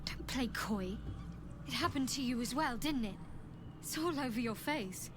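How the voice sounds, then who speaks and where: Woman doing a devout secretive cleric lady, video game, cool n wry, bit high pitched, noisy background. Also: expressive, normal speed, close to an everyday voice. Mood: wry, probing. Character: guarded, devout female cleric of a secretive cult.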